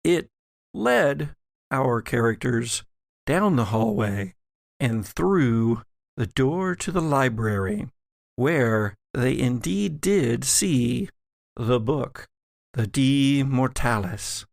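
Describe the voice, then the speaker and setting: Male game master, unhurried horror narration, gravelly fry, dramatic pauses, dry sound. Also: medium pitch, lively intonation, no echo. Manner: measured, dramatic storytelling with pauses. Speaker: game master, podcast host. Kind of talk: scripted recap narration for a horror tabletop game.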